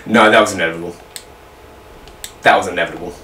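A man's voice: sits low, steady tone, quick pace, sharp tone. An Australian man casually telling history stories over gameplay, rambling, swearing and repeating himself. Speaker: storyteller on a gaming video. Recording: roomy, distant mic, faint noise.

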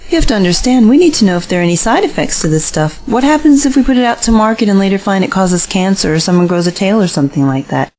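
A woman's voice: mid-range voice, lively intonation, quick pace, few pauses, a little mellow, slight background noise, close to an everyday voice. A woman playing a practical, open-minded business investor in an audio drama, polite and reasonable. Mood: interested, reasonable.

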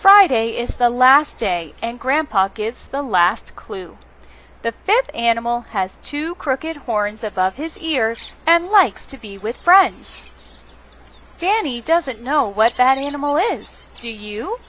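A woman reads a preschool story in a high, very lively voice. She speaks to small children with simple questions and prompts. There is clear background noise. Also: takes it slowly, poor audio. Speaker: children's librarian reading stories.

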